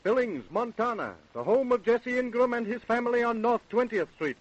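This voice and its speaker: An American man with a high voice narrates in a 1930s radio style. The delivery is scripted, on an old broadcast recording. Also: expressive, moderate pace, dull audio.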